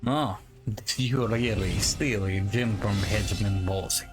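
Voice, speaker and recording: A man voicing an aloof, proud cat-folk adventurer in formal, measured speech as game roleplay. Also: lowish voice. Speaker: gamer playing in character. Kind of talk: in-character roleplay game commentary. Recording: game music behind the voice.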